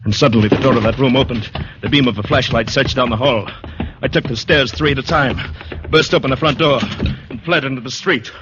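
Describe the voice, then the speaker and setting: A man's voice: mid-range voice, fairly quick, hardly any pauses, noisy background, natural voice. An actor playing a terrified, shell-shocked fugitive, telling his story in tense, clipped phrases in 1940s radio drama style. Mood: frantic terror. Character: terrified, shell-shocked man fleeing a murder scene. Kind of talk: 1940s radio drama first-person narration. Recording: muffled vintage radio with music behind.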